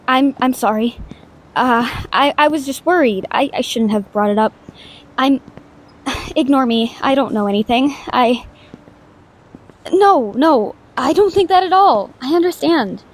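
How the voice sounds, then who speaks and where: A woman's voice: high voice, rough recording. A woman voicing a shy, awkward young female ghost in an intimate audio drama, stammering, hesitant and apologetic, anxious but kind. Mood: gentle, sad. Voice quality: soft stammer. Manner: hesitant, apologetic, self-doubting, rambling.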